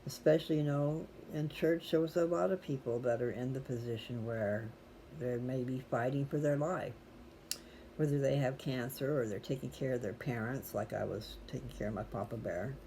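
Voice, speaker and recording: A mellow, relaxed voice with a folksy way of talking to viewers. The host rambles casually from one aside to the next, over a faintly noisy home-style recording. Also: mid-range voice, normal speed. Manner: chatty, folksy, digressive. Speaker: host reading scripture aloud for viewers.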